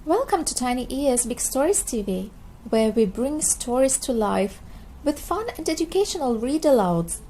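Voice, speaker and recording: High-voiced woman reading to small children. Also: a little hiss or hum, poor audio. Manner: slow, expressive delivery for small children. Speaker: children's read-aloud narrator. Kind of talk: scripted storybook narration for young children.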